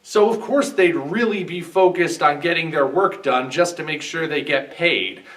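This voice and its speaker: A man's voice: fairly high pitch, expressive, moderate pace, fairly bright tone. A man giving scripted film commentary as a guest reviewer, recorded at a distance from the microphone. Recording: distant, off-mic voice.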